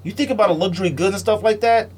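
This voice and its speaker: A man's voice: high voice, rapid-fire, a little mellow, slight background noise. A man hosting a podcast, arguing casually and emphatically in slangy, repetitive phrases. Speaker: podcast host. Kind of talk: animated sneaker debate, casual chat.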